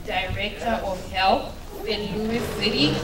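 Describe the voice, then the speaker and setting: A woman from Zimbabwe announcing award recipients at an event in a measured way, with fillers. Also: mid-range voice. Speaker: awards presenter. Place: event hall. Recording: echoey hall, distant mic, loud noise.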